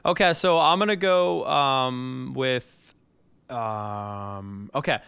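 Bright, clear-voiced man telling stories. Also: medium pitch, slow. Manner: animated storyteller, sings out drum parts. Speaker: podcast host. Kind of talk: casual chat about drumming.

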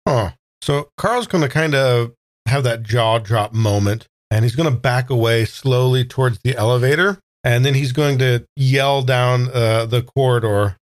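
A man's voice: medium pitch, a little slow, dry recording, studio quality. A man playing a tabletop game, chatting casually and describing his character's moves with playful exaggeration. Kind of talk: casual actual-play game chat.

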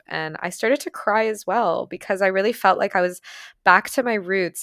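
Smooth-voiced woman, native Ukrainian and Russian speaker. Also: fairly low pitch, fairly bright tone, no echo, studio quality. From Ukraine, later moved to Canada. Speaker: vlogger sharing personal experiences. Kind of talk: casual reflective monologue storytelling.

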